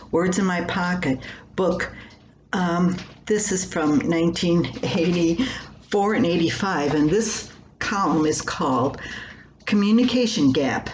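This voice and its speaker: An older woman with a slightly low voice reads a homespun story aloud at a very slow, plainspoken pace. The room gives her an echo, and there is some light noise behind her. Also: darkish tone, lo-fi sound. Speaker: writer reading own newspaper column. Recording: roomy echo with faint background noise.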